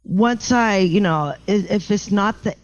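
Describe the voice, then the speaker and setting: Low-voiced woman, chatty and self-joking. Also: a little slow, dry recording, highs cut off. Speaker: guest, house painter. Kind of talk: casual podcast interview.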